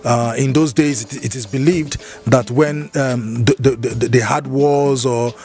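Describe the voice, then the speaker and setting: A man's voice: mid-range voice, fairly even intonation, measured pace, darkish tone, soft background music. A middle-aged man with a Nigerian accent hosting a show outdoors, speaking casually into a handheld mic with lots of fillers and sing-song stresses. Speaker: show host. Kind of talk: casual presenting to camera.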